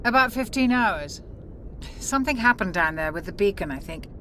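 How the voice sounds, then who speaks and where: An actress playing a calm, caring, mature ship's doctor in a video game, composed and clinical in manner. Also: female voice, mid-range voice, normal speed, close to an everyday voice. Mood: calm concern. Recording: clear engine-like ship noise behind.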